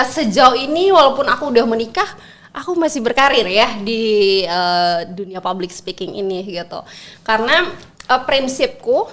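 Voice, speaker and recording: A young woman with a high voice talks as a guest on a podcast. She is chatty and expressive, speaks in a casual conversational way, and laughs easily.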